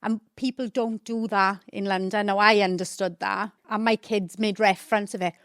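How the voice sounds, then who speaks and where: A middle-aged woman with a South Wales Valleys accent talks earnestly and at length as a podcast guest. Her voice sits at a middling pitch for a woman. The sound is close and dry. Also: fairly even intonation, normal speed, fairly bright tone, no echo. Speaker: guest from a housing membership team. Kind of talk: casual conversational interview.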